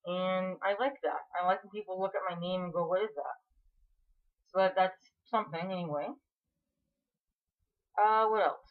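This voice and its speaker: A woman in her twenties from Canada, talking frankly and earnestly to camera in a casual vlog. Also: medium pitch. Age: 20s. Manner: frank and earnest, with frequent restarts and fillers. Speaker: vlogger. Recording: tinny phone-like sound.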